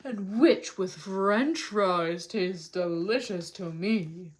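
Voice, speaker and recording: A woman voicing a mean, greedy dragon in a children's storybook, with slow, menacing speech. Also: mid-range voice, voice pushed down, close to an everyday voice.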